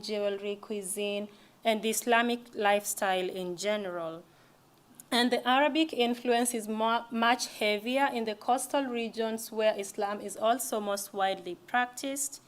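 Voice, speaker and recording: A young woman giving a casual library lecture, talking conversationally with frequent restarts. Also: fairly high pitch. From East Africa. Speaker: language presenter.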